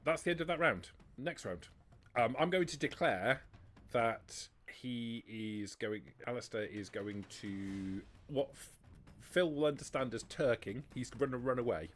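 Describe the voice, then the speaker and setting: A man running a tabletop roleplaying game as game master, explaining rules and narrating in halting, self-correcting phrases. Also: medium pitch. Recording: noisy hobby livestream audio.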